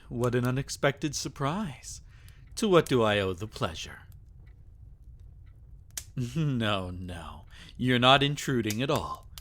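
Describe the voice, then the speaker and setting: A man's voice: medium pitch, fairly bright tone. A man acting as a sadistic, possessive vampire lord in an intimate ASMR roleplay, teasing and taunting with theatrical, menacing endearments. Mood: amused, courtly.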